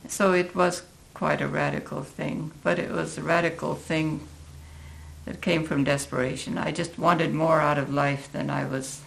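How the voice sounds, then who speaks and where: An elderly American woman in her eighties recalling her life in a reflective interview, halting and thoughtful, with a gravelly, creaky voice. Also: deep voice, flat delivery, a bit bright, slight background noise, rough recording. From Oregon, United States. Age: 80s. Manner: halting, deliberate, thoughtful pauses. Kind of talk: reflective oral history interview.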